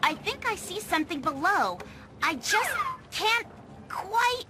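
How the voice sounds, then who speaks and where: Smart bossy teen girl detective, high bouncy voice, real noisy background, cartoon game. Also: very animated, takes it slowly, full character voice. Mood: urgent.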